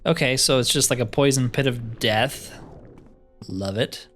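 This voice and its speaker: A man's voice: mid-range voice, measured pace, a bit bright, faint music behind. A man giving casual let's play commentary, thinking aloud as he plays and grumbling wryly. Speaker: gaming video host.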